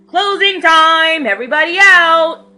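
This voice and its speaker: A woman voicing a brisk, helpful male laundromat manager in a storybook, calling out to customers. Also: very high-pitched, bright, forceful tone, faint music behind, voice pushed up, exaggerated voice. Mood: loud announcing.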